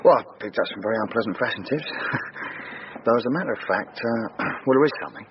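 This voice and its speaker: Actor as quick, cheeky British police sidekick. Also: male voice, mid-range voice, natural voice. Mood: joking, curious. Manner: joking, colloquial. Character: cheeky, blunt, excitable male police sidekick. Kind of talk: naturalistic radio crime drama acting. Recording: noisy, dull radio drama recording.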